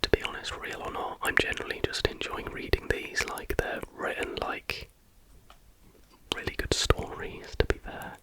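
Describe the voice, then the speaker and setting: A man whispering in a breathy, hushed voice, reading aloud informally in an ASMR style. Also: moderate pace, rough recording. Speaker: ASMR host. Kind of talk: whispered ASMR reading of stories with casual asides.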